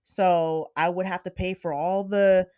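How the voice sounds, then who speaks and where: Chatty woman host, lowish voice. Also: steady tone, unhurried, warm tone, no echo, studio quality. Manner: upbeat, chatty, laughs often. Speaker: podcast host. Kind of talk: casual interview chat.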